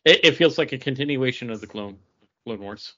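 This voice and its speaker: A man's voice: mid-range voice, animated, a little fast, a bit bright. Understated man on a slightly dull podcast recording. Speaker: podcast co-host. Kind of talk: casual chat.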